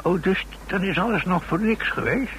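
An actor playing a shrewd, folksy safecracker in a radio drama, with a gravelly voice and a halting, colloquial, deferential way of talking. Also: male voice, high-pitched, lively intonation, fairly bright tone. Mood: puzzled, dawning insight. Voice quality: gravelly, creaky voice. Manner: halting, colloquial, full of tag questions. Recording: noisy old radio drama recording.

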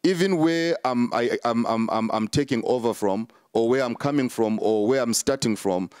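A man's voice: mid-range voice, normal speed, a little mellow, clean tone, no echo, studio quality. A man, a prophet answering interview questions in an emphatic, repetitive preaching cadence with many fillers.

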